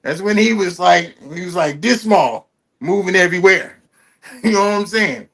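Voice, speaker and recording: A man's voice: high voice, normal speed, a bit bright. A man hosting an interview, talking casually and enthusiastically with many restarts and fillers. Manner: enthusiastic, rambling, heavy fillers and repeats. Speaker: host.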